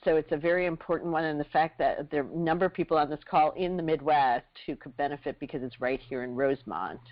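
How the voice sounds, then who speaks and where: A woman's voice: low-pitched, quick pace. A woman moderating a conference call, hesitant, with many fillers, thanking and introducing speakers. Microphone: computer or phone on a conference call. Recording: muffled conference-call audio.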